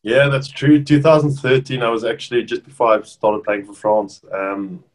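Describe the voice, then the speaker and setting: A South African man in his thirties, whose first language is Afrikaans, chatting casually over a video call as an interview guest with relaxed restarts. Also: medium pitch, normal speed. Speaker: rugby player guest. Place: bedroom at home, indoors.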